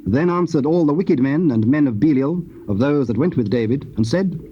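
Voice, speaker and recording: A man narrating scripture in a solemn, measured and formal manner. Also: fairly high pitch, lively intonation. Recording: dull, hissy old cassette tape.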